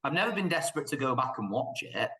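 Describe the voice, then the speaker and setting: A man with a mid-range voice talks casually as a podcast panelist. He is animated and opinionated, joking as he goes and putting on mock quotes. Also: mid-range voice, fast talker, creaky. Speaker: podcast panelist reviewing films. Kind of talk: casual chat, opinionated film commentary.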